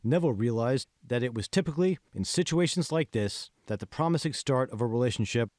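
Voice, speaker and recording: A man's voice: medium pitch, mellow, dry recording, studio quality. A man reading scripted audiobook narration of a novel. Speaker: author reading own audiobook.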